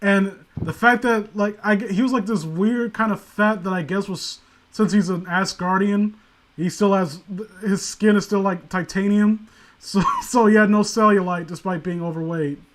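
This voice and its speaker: A man with a notably high voice hosts a casual group chat. He rants and jokes in animated banter, swearing freely as he goes. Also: normal speed, slight background noise. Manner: profane, joking, animated banter. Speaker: host of a story reading stream.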